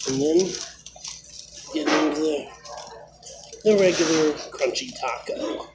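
A man's voice: a little high, slow pace, heavy background noise. Man with a cold, nasal and rambling. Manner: rambling, many fillers and restarts. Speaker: vlogger. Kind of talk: casual food review vlog. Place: indoors, small room. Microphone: phone camera close up.

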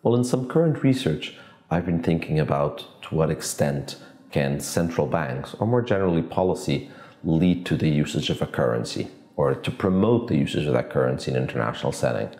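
Deep-voiced man from Portugal in his forties, measured academic, roomy office sound. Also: expressive, a little mellow. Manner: measured, academic, thinks aloud with restarts. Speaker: economics professor. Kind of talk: interview answers to camera. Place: office with glass walls, indoors.

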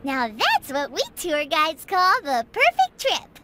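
A woman voicing a tiny, excitable, bossy fairy guide in a video game, squeaky and cutesy. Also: slow, very noisy, heavily acted. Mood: cheerful, reassuring. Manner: cutesy, speaks in third person. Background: loud game music.

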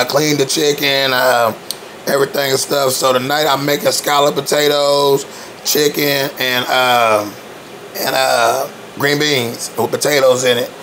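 A man in his thirties, a little hoarse, rambling loosely and slangily in a home-cooking vlog recorded on a handheld phone in his kitchen. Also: on the high side, a bit bright, slight background noise. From Evansville, Indiana, US. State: a bit hoarse. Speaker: cooking vlogger. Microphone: phone held at arm's length.

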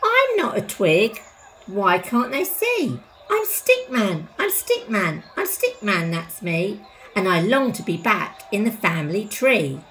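A woman voicing a small, indignant, homesick stick man from a storybook, pleading in drawn-out chants. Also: medium pitch, very animated, pitched below the performer's own voice, rough recording. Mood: plaintive. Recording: loud rushing stream water noise.

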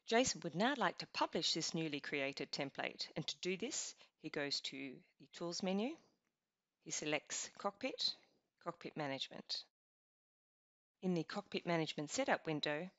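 A woman narrating a scripted software walkthrough, explaining each step in an even, instructional way. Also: low-pitched, no room sound. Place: voice-over over screen recording. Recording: dull lo-fi voice-over.

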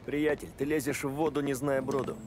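A man's voice: medium pitch, fairly even intonation, noisy background, close to an everyday voice. An actor as a wary family-man mobster. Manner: measured, reluctant.

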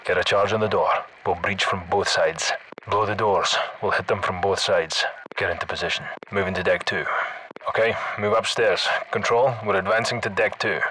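A battle-hardened Scottish squad leader in a military video game. His voice is forceful and sharp, firing off terse, urgent commands like radio battle chatter. Faint noise sits behind the voice. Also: deep voice, moderate pace, rough recording. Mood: low, controlled. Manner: clipped, urgent orders.

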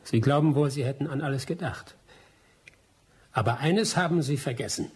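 A man's voice: mid-range voice, normal speed, a little hiss or hum, close to an everyday voice. An actor playing a cornered, bitter and fatalistic male adventurer in a radio drama. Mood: wary, defiant. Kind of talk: German radio play acting.

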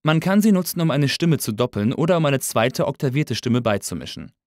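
A man narrating a scripted audio engineering tutorial in a clear, orderly, explanatory way. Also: mid-range voice, animated, brisk, no echo, studio quality. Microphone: close studio voice-over mic.

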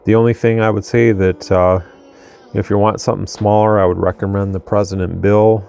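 A man's voice: fairly low pitch, a little fast, gravelly, clear, resonant tone. A man casually demonstrating a radio at his workbench, thinking aloud as he goes. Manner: relaxed, thinking aloud, restarts. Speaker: radio reviewer. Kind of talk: casual product demo. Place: workbench in a home radio room.

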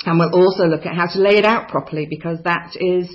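A woman's voice: fairly low pitch, steady tone, fast. A woman from New Zealand tutoring a children's writing course, talking enthusiastically and chattily with frequent ums. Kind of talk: course overview narration over slides. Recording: slightly dull lo-fi voice-over.

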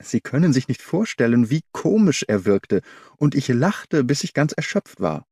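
A man reads a literary story as a narrator, in measured, clearly articulated phrases. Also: mid-range voice, very animated, darkish tone, dry recording. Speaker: audiobook narrator. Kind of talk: scripted literary narration, audiobook reading.

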